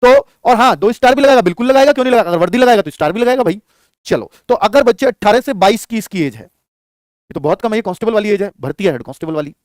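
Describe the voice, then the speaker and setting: A high-pitched man teaches like a coaching instructor. He talks fast in a dry, close recording, addressing students familiarly and posing questions that he answers himself. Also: lively intonation, dry recording. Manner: explanatory, addresses listeners familiarly, asks and answers own questions. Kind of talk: lesson on job vacancies addressed to students.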